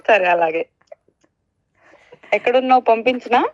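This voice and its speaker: A woman's voice: fairly high pitch, animated, unhurried, dry recording. A woman in a casual phone chat, answering in an unimpressed, dismissive way. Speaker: costume designer on a phone call. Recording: slightly dull phone call.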